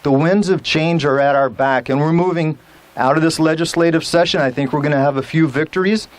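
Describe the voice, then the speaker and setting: Man, legislator, rally speech, emphatic, rhetorical questions, somewhat high voice. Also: brisk, no echo.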